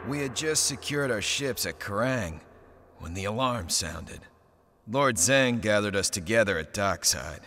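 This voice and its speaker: Cocky game pirate hero, dry wisecracks, kinda low, really lively intonation. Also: male voice, measured pace, heavily acted. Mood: wry. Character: Kai, cocky young male pirate captain, dryly sardonic. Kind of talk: video game cutscene acting.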